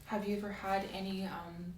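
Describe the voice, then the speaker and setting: A soft-spoken woman doing an ASMR medical roleplay as a doctor, asking methodical questions in a reassuring way. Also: medium pitch, level tone, normal speed. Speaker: ASMR creator playing a doctor. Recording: roomy, distant mic, faint hiss.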